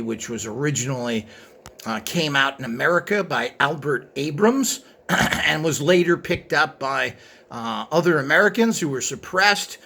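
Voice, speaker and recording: A man gives an informal show-and-tell as presenter. His voice sits a little high, with a bright, forceful edge and plenty of creak. He wanders through his remarks with frequent hesitation.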